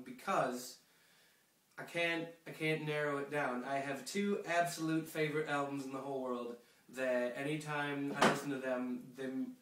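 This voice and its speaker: A man's voice: mid-range voice, noisy background. A young man with a sniffly cold, chatting in a rambling way with lots of fillers and restarts. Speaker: pen pal video blogger. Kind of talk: casual video letter to a friend. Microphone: phone camera. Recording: echoey room, a bit far from the mic.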